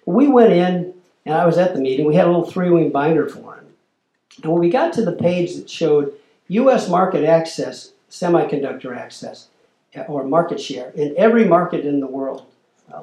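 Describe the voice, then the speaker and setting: A man's voice: fairly high pitch, warm tone, roomy sound, low-quality recording. An older man giving a storytelling lecture, acting out the quoted exchanges as he talks. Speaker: lecturer, former corporate lobbyist. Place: lecture hall.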